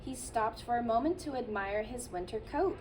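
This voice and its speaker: A childcare teacher, a woman, reading a picture book aloud to children in a gentle storytelling manner with playful asides. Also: on the high side, lively intonation, moderate pace, distant mic, slight background noise.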